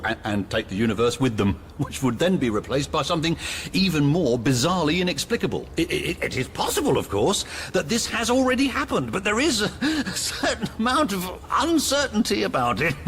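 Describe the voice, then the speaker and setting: An actor playing a giddy, exhausted man who rambles and giggles in a radio comedy drama. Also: fairly bright tone. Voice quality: high, giggly. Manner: rambling, breaks into wheezy laughter. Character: giddy, exhausted man helplessly laughing as he tells truths.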